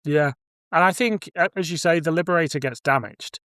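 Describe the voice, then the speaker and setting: A man's voice: fairly high pitch, a little fast, fairly bright tone, no room sound, clean studio sound. A man hosting a podcast, chatting casually through a review and thinking aloud as he hedges his points. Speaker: podcast host.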